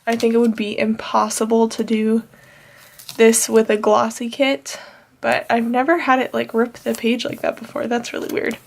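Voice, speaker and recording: Chatty young woman, nasal and gravelly. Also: a little high, flat delivery, normal speed. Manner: chatty, rambling with fillers. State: nasal from allergies. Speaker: planner vlogger. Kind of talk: casual plan-with-me chat.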